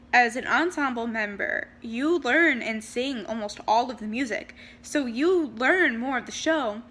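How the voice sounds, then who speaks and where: A woman's voice: on the high side, sharp tone. A young woman vlogger casually telling personal stories, chatty, with frequent ums and shifts in pace. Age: young adult. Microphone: handheld camera.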